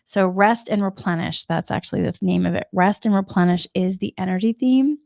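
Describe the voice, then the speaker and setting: A woman from Massachusetts with a slight Boston accent, giving a gentle, rambling spiritual talk on a live stream, often repeating herself. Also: mid-range voice, brisk, vocal fry, no echo, clean studio sound. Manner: repetitive, gentle, rambling with many restarts. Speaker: spiritual energy forecaster. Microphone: live stream microphone.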